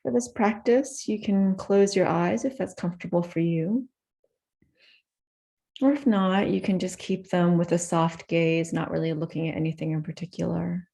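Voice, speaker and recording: A woman leading a guided meditation in a gentle, unhurried manner with soft pauses between phrases. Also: fairly low pitch, creaky.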